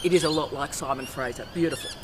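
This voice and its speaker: A woman's voice: deep voice, quick pace. A middle-aged woman, a university academic, giving an emphatic, forthright instructional vlog talk outdoors on a campus by the ocean, filmed on her own camera. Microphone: self-filmed camera outdoors. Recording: outdoor noise with birds and traffic.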